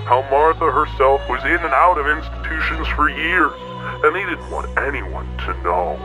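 An actor as a grave male exposé narrator, ominous and emphatic. His fairly high voice delivers accusations as dramatic scripted narration, with music clearly playing underneath. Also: expressive, moderate pace, natural voice. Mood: accusing.

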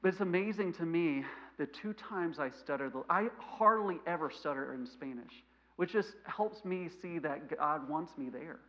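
A man in his thirties preaches in a church sanctuary, a bit higher-pitched than most men, with a bright, forceful tone. He has a slight stutter and swings from loud emphatic bursts to quiet asides. The recording sounds dull and lo-fi. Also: normal speed. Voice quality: mild stutter. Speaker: missionary preacher. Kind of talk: sermon.

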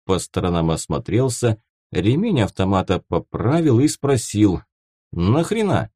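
A man narrating fiction from a script as an expressive audiobook reader. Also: lowish voice, normal speed, no room sound, clean studio sound.

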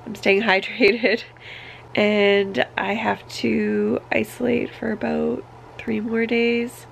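Very creaky-voiced woman, stuffed up. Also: on the high side, little pitch movement, takes it slowly, slight background noise. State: congested with a cough, sick. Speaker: family vlogger. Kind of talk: casual personal vlog update. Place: small bedroom, indoors. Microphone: camera held at arm's length.

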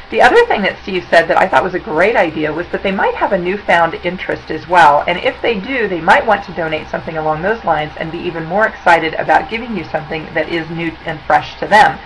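A woman's voice: deep voice, fairly bright tone, slight background noise, poor audio. A woman in her forties, speaking to a webcam in a chatty, upbeat, conversational way as she presents tips over slides. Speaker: auction consultant presenting tips. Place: indoor room. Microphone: webcam at desk.

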